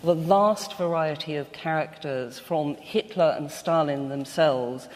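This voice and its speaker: A woman's voice: low-pitched, measured pace, darkish tone. A woman's voice rings through a reverberant hall as she takes part in a literary panel. She speaks as a guest with firm opinions, leaning on her key points. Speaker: panel guest discussing a novel. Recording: echoey hall with long reverb.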